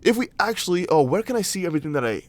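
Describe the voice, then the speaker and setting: A young man whose first language is Dutch, speaking English with a Dutch accent. He hosts a casual crypto livestream, chatting loosely, reading chat aloud and thinking out loud with lots of fillers. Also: high-pitched, big pitch swings, racing. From Netherlands. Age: young adult. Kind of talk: casual livestream chart analysis.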